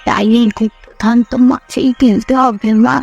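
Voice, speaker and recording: Woman voicing a creaky old village granny. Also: fairly high pitch, steady tone, normal speed, muffled recording, faint music behind. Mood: solemn, knowing. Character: old granny with a magic book, knowing, solemn guardian of treasure. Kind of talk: cartoon fairy tale voice acting.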